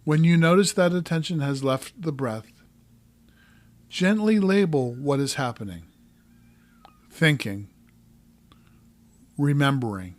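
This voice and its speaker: A man narrating a guided meditation as a coach, giving calm, gentle instructions in short, measured phrases. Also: fairly high pitch.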